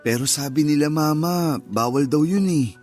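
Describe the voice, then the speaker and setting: A man playing a gentle, protective grieving husband in a Filipino radio drama, with a soothing, earnest manner. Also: fairly high pitch, moderate pace, faint music behind, natural voice. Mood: reassuring, earnest.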